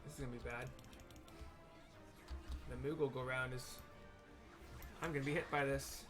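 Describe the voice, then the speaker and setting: A man's voice: mid-range voice, steady tone, moderate pace. Man casually commentating gameplay over music. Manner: informal, thinking aloud, hesitant. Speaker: gamer narrating own playthrough.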